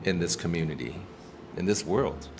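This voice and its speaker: A man with a low voice speaks earnestly and thoughtfully, pausing to restart his sentences. He is recorded in a restaurant with lingering reverb. Clear background noise sits under his voice. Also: a little mellow. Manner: earnest, reflective, with many restarts and ums. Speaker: actor and activist guest. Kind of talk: casual interview talk.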